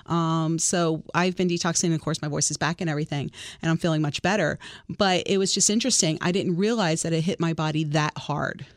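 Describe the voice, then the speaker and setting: A woman's voice: sits low, fairly even intonation, fairly quick, no echo, clean studio sound. A woman, a doctor co-hosting a radio show, chatting and sharing personal stories. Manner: chatty, shares personal stories, laughs easily. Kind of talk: radio interview.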